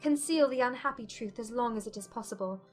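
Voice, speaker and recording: A woman playing an agitated, self-reproaching young gentlewoman in formal dialogue from a dramatized period audiobook. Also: fairly high pitch, a little fast, soft background music, close to an everyday voice. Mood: subdued urgency.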